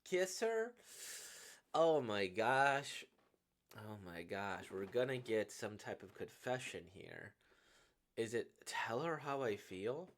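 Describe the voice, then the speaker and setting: A man's voice: on the low side, animated, slow, fairly bright tone. A man giving casual playthrough commentary as a video host reacting to what he reads.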